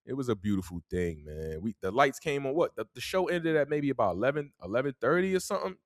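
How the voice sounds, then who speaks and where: A man's voice: medium pitch, animated, fast, no echo, studio quality. A young man from New York with a New York accent, telling a story in a casual, laid-back way and tagging his sentences with "man". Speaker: podcast host.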